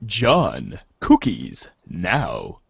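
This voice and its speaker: A man's voice: low voice, wildly swooping pitch, vocal fry, slightly rough, exaggerated voice. A man playing an imperious, insulting commander in a read-along storybook comedy, pompous and exasperated as he orders a boy about. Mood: commanding. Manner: pompous, exasperated, slow and theatrical. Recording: echoey, dull lo-fi recording.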